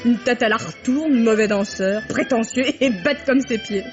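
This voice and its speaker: A woman's voice: a little high, moderate pace, natural voice. An actress playing a capricious, passionate rich young woman in a vintage French radio drama, with a mocking, imperious delivery. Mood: scornful. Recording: dull vintage radio recording with music.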